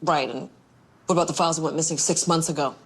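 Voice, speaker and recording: An actress playing a principled, dry-witted police investigator, blunt and sardonic in a screen drama. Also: female voice, sits low, fairly even intonation, quick pace, breathy edge. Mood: bitter, accusing. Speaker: actor in a TV supernatural police drama. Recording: music under the dialogue.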